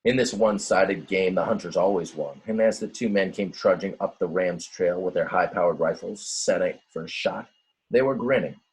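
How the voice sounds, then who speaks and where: Husky-voiced man reading a storybook aloud. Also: deep voice, moderate pace, rough recording. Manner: expressive, dramatic, explains words to young listeners. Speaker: teacher reading a picture book aloud. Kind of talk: children's storybook read-aloud with simple asides.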